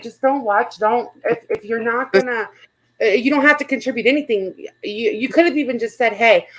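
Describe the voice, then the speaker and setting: A woman's voice: a little high, fairly bright tone, low-quality recording. A woman chatting casually as the host of a livestream panel, blunt and informal, swearing freely. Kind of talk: casual panel chat, gossip commentary.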